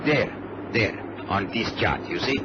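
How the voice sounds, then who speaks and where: A man's voice: on the low side, lively intonation, takes it slowly, over music. A man playing a polite but menacing hijacker in a radio drama. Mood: cool, commanding. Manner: courteous, coolly threatening. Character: polite, menacing Latin male hijacker with a gun. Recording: noisy, dull vintage radio recording.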